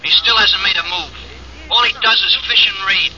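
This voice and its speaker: A gangster henchman, voiced by a man reports in over a telephone filter, his voice high and thin. The line sounds tinny and distant, like an old radio recording. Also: fairly quick, a crowd in the background. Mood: reporting. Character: gangster henchman on a phone call.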